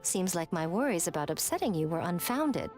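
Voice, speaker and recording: An actress playing a sly, teasing shrine priestess in an anime video game, with a wry, haughty manner. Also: female voice, fairly low pitch, sing-song, a little fast, a little mellow, soft background music.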